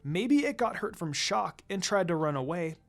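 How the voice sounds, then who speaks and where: A narrator reads a scripted story in the first person. The pitch sits in a middle register and moves in an animated way, with music playing faintly behind the voice. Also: normal speed. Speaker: fan fiction narrator.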